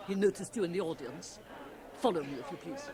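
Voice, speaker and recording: A commanding, mannish woman who is cool, formal and slyly amused. She probes with polished poise in a radio play, with a crowd clearly audible behind her. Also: fairly low pitch, sing-song, brisk. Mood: coolly amused. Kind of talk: 1980 radio drama acting. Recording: old radio drama tape, crowded background.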